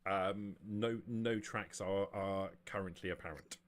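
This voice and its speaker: Low voiced guy running a tabletop rpg, stops and repeats words as he describes stuff. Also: steady tone. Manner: halting, frequent stammered word repeats. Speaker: game master. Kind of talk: improvised narration of a tabletop vampire game.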